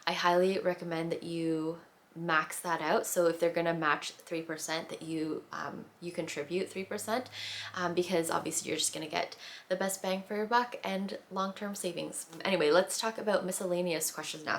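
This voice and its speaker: Low, gravelly-voiced young woman vlogger. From Philippines. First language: Tagalog. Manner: chatty, filler-heavy, with frequent restarts. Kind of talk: casual chat.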